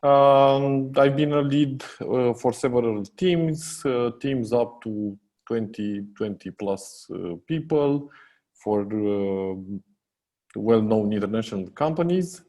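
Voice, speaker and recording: A man with a Romanian accent, a software company co-founder talking as a podcast guest. He hesitates a lot, with many fillers and restarts. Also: medium pitch, takes it slowly. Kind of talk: casual interview chat.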